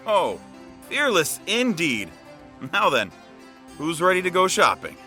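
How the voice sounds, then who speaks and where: A man's voice: high-pitched, wildly swooping pitch, slow pace, sharp tone, soft background music, exaggerated voice. A man playing a friendly, gallant townsman, eager and courteous, in an audio fantasy drama. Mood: generous, upbeat. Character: friendly, gallant young townsman with easy charm.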